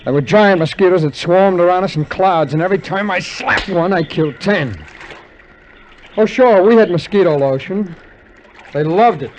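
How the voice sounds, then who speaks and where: A man's voice: high voice, moderate pace, slight background noise, close to an everyday voice. An actor playing a cynical, world-weary adventurer who narrates his own tale in a 1950s radio drama, with a wry, dramatic delivery. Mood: grimly wry. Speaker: narrator and lead character. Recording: muffled vintage 1950s radio recording, music behind.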